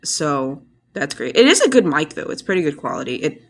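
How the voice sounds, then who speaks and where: A woman's voice: sits low, very animated, fairly quick. A woman chatting casually to an iPad microphone in a rambling, self-deprecating way, like a hobbyist vlogger. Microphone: iPad built-in microphone.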